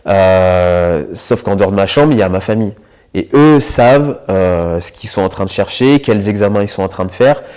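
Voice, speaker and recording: Soft-toned reflective young man. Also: on the low side, animated, clear, resonant tone. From Paris region, France. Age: 20s. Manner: reflective, hesitant, frequent filler pauses. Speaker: interviewee sharing his experience with illness. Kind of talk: personal testimony interview.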